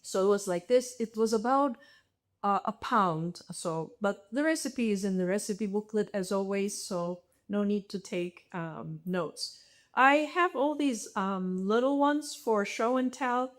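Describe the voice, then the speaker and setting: A woman's voice: medium pitch, measured pace. A woman from Turkey leading a casual cooking demonstration in her home kitchen, speaking in a friendly, hesitant way full of ums, over a video call. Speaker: home cook presenter.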